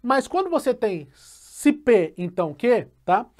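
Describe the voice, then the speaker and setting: A male math teacher patiently explaining a logic lesson, often checking in with a quick question and slowly repeating key phrases. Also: very high-pitched, very animated, darkish tone.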